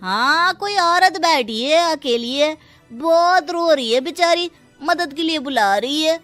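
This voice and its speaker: A woman's voice: high voice, expressive, slow pace, fairly bright tone, no room sound, soft background music, voice pushed up, exaggerated voice. A woman voicing a worried, cautious slum neighbour man with urgency in a dramatized story. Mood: alarmed.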